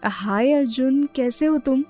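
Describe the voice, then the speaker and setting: A woman voicing a kind young female friend in an animated story, earnest and reassuring. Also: high voice, measured pace, faint music behind. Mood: friendly. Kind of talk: animated moral story dialogue.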